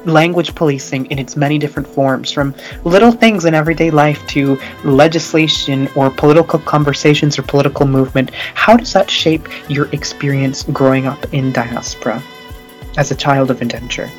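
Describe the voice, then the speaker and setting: A woman's voice: low voice, brisk, faint music behind, rough recording. A woman hosting a casual conversation, asking long, thoughtful questions with some hesitation.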